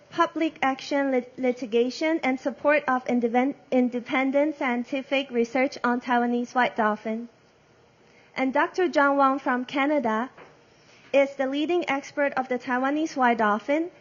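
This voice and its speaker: A woman's voice: high voice, dull audio. A woman whose first language is Mandarin, moderating a press conference and reading prepared introductions carefully, stumbling and correcting herself.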